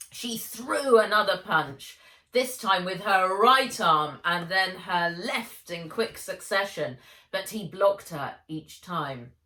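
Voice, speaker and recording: A woman's voice: lowish voice, pushed and bright. A woman narrating a children's adventure novel as an audiobook, expressively and with dramatic emphasis. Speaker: author reading own book aloud. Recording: echoey room.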